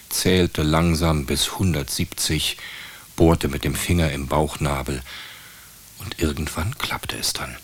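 Deep, husky male radio drama narrator.

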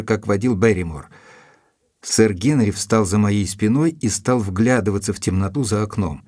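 An actor playing an earnest, mildly proud gentleman assistant to a detective, telling the story in a measured, literary way in an audio drama. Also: on the low side, no echo, studio quality.

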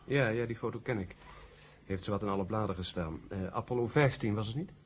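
An actor playing an earnest, courteous ballistics expert who explains hesitantly in a radio drama. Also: male voice, fairly low pitch, fairly quick, husky. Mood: doubtful. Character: earnest male ballistics expert, courteous, troubled but open-minded. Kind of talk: 1970 Dutch radio drama acting. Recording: muffled old radio drama tape.

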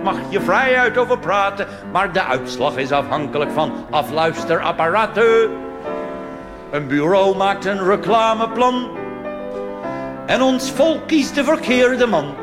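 A man's voice: high voice, big-room sound, pitched above the performer's own voice. A man playing a smug American tourist. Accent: American-flavoured Dutch with English phrases. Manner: broken grammar, drawling, self-satisfied. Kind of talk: cabaret character monologue and song.

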